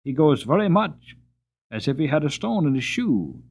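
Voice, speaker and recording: A man's voice: fairly high pitch, very animated, normal speed, gravelly, darkish tone, slightly rough, voice pushed up, exaggerated voice. A man voicing a kindly but firm farmer who speaks politely and with emphasis. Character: kindly, firm middle-aged male farmer. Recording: tinny phone-like audio.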